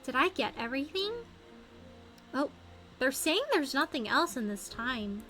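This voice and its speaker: Woman, high-pitched, animated intonation, casual game streamer, playful exclamations, thinking aloud, clear background music. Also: a little slow. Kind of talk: casual gameplay commentary, chatting to viewers. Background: music behind the voice.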